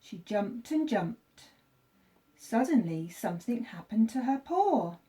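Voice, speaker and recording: A woman teacher reading a children's story aloud, with expressive, deliberate phrasing for young listeners. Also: fairly high pitch.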